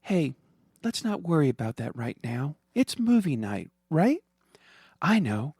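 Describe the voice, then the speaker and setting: A man's voice: a little high, very animated, a little slow, a little mellow, breathy edge, dry recording, clean studio sound, pitched above the performer's own voice, exaggerated voice. A man voicing an anxious, exasperated wife and mother in a comic story, emotional and pleading. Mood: reassuring.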